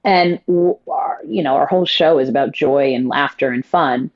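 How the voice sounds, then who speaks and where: A stage actress telling stories in a casual interview, effusive and quick with quoted asides. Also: female voice, rumbling low, lo-fi sound.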